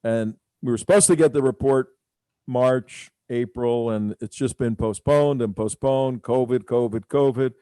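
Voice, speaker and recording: Halting, rambling male radio host. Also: mid-range voice, mellow, clean tone, no room sound. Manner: rambling, halting with many fillers. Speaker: radio talk show host. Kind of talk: casual radio talk.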